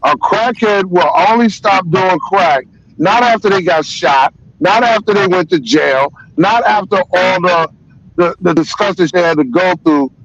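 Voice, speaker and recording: A man's voice: sits high, measured pace, fairly bright tone. An older man arguing back as a guest in a heated panel debate, insisting and repeating himself to be heard. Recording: dull lo-fi sound.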